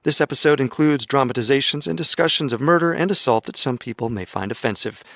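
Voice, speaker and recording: A male podcast host giving measured, announcer-like scripted narration. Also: medium pitch, a bit bright, no echo, studio quality.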